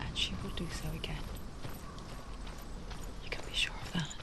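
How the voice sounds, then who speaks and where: A woman voicing a mysterious, grave narrator in a dark fantasy game, hushed, deliberate and confiding, like an intimate inner voice. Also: very noisy, natural voice. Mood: grave, ominous. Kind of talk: whispered dramatic game narration. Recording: loud music behind the whisper.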